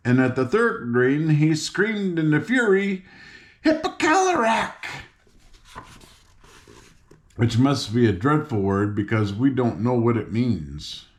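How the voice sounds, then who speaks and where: A man's voice: mid-range voice, animated, unhurried, few pauses, darkish tone. An older man reads a children's story aloud in an informal, folksy way, with chatty asides and stumbles over words. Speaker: audiobook reader.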